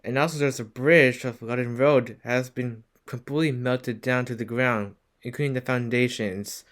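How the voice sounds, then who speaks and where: A man's voice: medium pitch, steady tone, normal speed, a bit bright, close to an everyday voice. A man playing a local news anchor in an amateur disaster drama, announcing with slight stumbles. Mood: grave. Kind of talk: amateur emergency news broadcast acting.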